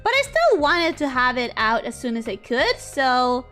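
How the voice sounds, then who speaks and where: A young woman streamer talking from a home setup. Her voice is bright and crisp, and it swings all over the range, from squeaky highs down to grumbling lows. Soft music plays quietly under her talk. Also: unhurried. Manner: expressive, swings between high squeals and low mutters. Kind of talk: casual chat reading viewer messages.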